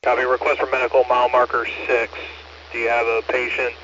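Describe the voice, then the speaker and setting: A man's voice: mid-range voice, monotone, fairly quick. An American man working as radio net control, matter-of-fact and courteous, reading traffic back over a two-way radio. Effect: two-way amateur radio transmission. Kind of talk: procedural two-way radio traffic with read-backs. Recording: muffled noisy two-way radio audio.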